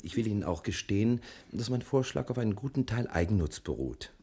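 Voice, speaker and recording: An actor playing a brisk, self-assured male private detective in a 1960s German radio play, switching between bossy orders and unctuous soothing. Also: on the low side, animated, dark tone, echoey room. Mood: soothing, persuasive.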